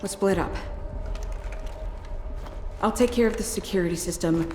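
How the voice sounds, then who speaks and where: A woman's voice: medium pitch, normal speed, background noise, natural voice. An actress playing a cool, tough, distrustful female soldier in a video game, with a curt and challenging delivery. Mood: brisk, commanding. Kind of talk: video game cutscene acting. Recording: echoey hall with long reverb, music behind.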